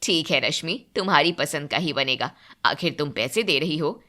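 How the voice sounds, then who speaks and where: A woman playing a stern middle-aged mother-in-law in a story, measured and firm. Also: low-pitched, expressive, bright, forceful tone.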